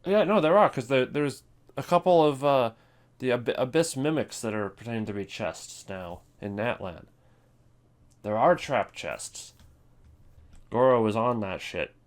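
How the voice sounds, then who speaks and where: A man's voice: mid-range voice, expressive, measured pace. A man giving casual, unscripted video commentary, rambling and thinking aloud in a wry way. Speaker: video host ranking game voice lines.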